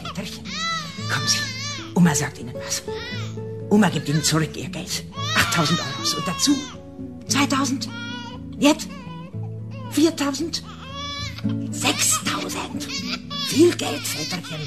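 A woman's voice: extremely high pitch, huge pitch swings, measured pace, long pauses, over music, full character voice. A woman playing a pushy, syrupy granny dealer who pleads in broken German. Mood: wheedling, excited. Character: wheedling foreign granny baby-dealer, pushy and theatrical. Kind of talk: German radio play acting.

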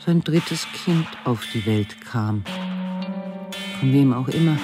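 Measured, reflective female artist, music behind. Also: low voice. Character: female artist reflecting on love, art and death. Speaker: actress reading an artist's memoirs. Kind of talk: scripted first-person narration.

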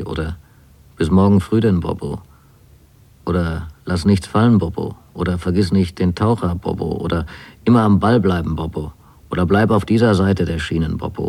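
A man acting a wayward young son writing to his mother in a radio drama, with a husky, gravelly voice and measured delivery. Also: low-pitched, mellow, exaggerated voice.

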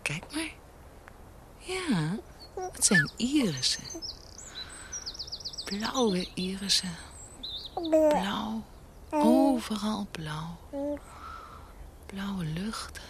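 An actress playing a tender, doting mother in a radio drama, cooing gently and wistfully to her baby in short repeated phrases. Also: medium pitch, sing-song, drawn-out words, pauses often, exaggerated voice. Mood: wistful, hopeful. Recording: outdoor scene with birdsong and noise. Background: birdsong.

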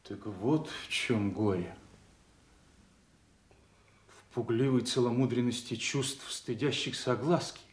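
A man's voice: medium pitch, natural voice. A man playing a gallant, earnest young king in a declamatory, noble classical radio drama. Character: gallant, earnest king of France, courting suitor. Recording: echoey studio radio play.

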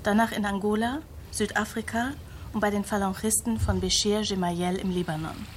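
An actress playing a sober, knowing investigative journalist in a radio drama, direct and matter-of-fact. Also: female voice, mid-range voice, fairly even intonation, normal speed, a little hiss or hum, lightly acted. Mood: factual, serious. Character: sober, knowing female investigative journalist, loyal old friend.

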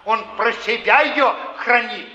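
An actor playing a smug, bullying older flophouse keeper on stage, with a drawn-out, sanctimonious, needling delivery. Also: male voice, very high voice, pushed and bright, exaggerated voice. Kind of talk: theatrical stage acting. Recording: dull archival stage recording, long echoing hall.

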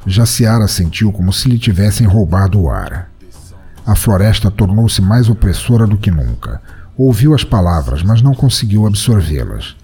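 A man's voice: lowish voice, sing-song, moderate pace, mellow, breathy edge. A Brazilian man narrating a scripted fantasy story in a dramatic storytelling style. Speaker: narrator of a fiction podcast.

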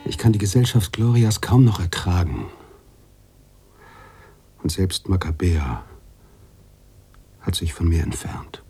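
Radio drama casting: weary author-narrator, very deep and very dark, husky, resigned. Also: male voice, lively intonation, measured pace, long pauses. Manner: brooding, resigned. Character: weary, troubled male author narrating his own story.

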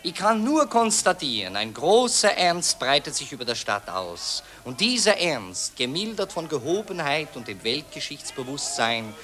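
A self-important Viennese newspaperman in a 1940s radio play. His voice is high and forceful, with wide swings of intonation. He declaims florid patriotic prose with cynical grandeur. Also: big pitch swings, normal speed, hardly any pauses. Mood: solemn declaiming.

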